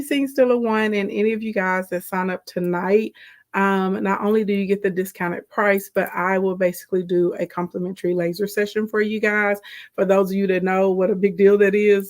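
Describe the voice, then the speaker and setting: A woman with a slightly raspy voice, hoarse from a sore throat, hosts a live stream as a business coach. She talks in a loose, chatty way and breaks off mid-sentence to greet viewers by name. She sounds like she is speaking into a phone or camera. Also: medium pitch, darkish tone. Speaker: livestream host and business coach. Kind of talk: casual livestream chat.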